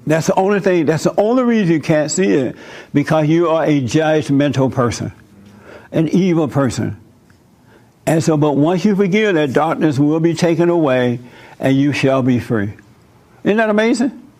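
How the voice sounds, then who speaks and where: Man preaching, higher voice, emphatic, repeats phrases, asks questions. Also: lively intonation, moderate pace, darkish tone. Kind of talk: preaching and talking with visitors.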